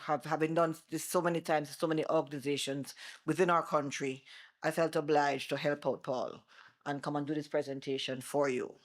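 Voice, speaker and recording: A middle-aged Jamaican woman, an attorney, giving a loud, animated seminar presentation with worked examples to a live audience on a microphone. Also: sits low. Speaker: attorney and former bar president giving a seminar. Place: seminar room before an audience. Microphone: microphone she moves away from.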